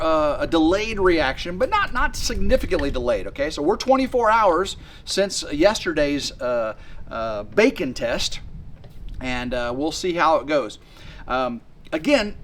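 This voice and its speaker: Man, mid-fifties, homestead vlogger. Lively, rambling, a bit high. Outdoor delivery. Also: slight background noise. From West Tennessee. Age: 50s. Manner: rambling and excitable, many fillers and restarts. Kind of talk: rambling casual vlog to camera. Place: outdoors by a work shed on a sunny day.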